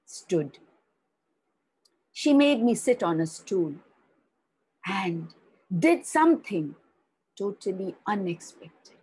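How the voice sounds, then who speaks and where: A woman reads a children's story aloud, taking her time over every phrase. Her voice sits a little low and soft-edged with breath, and it rises and falls widely as she tells it. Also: breathy edge, poor audio. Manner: slow, expressive reading with wide swings of pitch.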